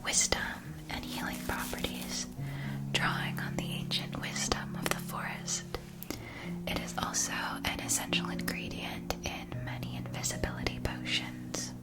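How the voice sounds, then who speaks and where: A woman whispering softly and breathily in a scripted ASMR roleplay as a gentle magic shopkeeper, enunciating each phrase with care. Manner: slow, deliberate, careful enunciation.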